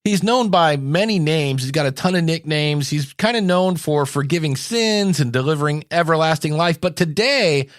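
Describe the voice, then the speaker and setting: A man's voice: on the high side, animated, moderate pace, few pauses, no room sound, studio quality. A man in his fifties hosting a podcast, talking casually and candidly in an anecdotal monologue. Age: 50s. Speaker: podcast host.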